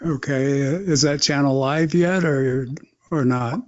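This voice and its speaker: A man's voice: mid-range voice, a little slow, a little mellow, dry recording, dull audio. A male podcast host asking brief, plain questions. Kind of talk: interview questions.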